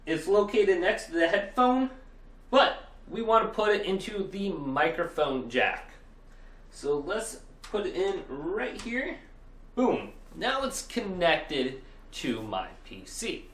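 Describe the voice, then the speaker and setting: Man, higher-pitched voice, very lively intonation, upbeat tutorial host, casual step-by-step delivery. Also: takes it slowly, a little hiss or hum. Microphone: Blue Yeti desktop microphone.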